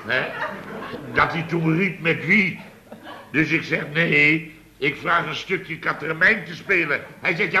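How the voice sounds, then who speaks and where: An actor playing a blustering, indignant boss of a building firm in a Dutch radio comedy, grumbling at length and sputtering with exasperation. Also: male voice, on the high side, pushed and bright. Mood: exasperated storytelling. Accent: Netherlands Dutch. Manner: exasperated, sputtering, long-winded comic grumbling. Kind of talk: Dutch radio comedy acting around 1970. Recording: hissy old radio recording.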